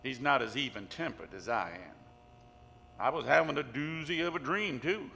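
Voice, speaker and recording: A man's voice: medium pitch, animated, moderate pace, vocal fry, pushed and bright. A man playing an easygoing, chatty small-town sheriff in an audio drama. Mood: wry, amiable. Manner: folksy, chatty. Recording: reverberant room with faint noise.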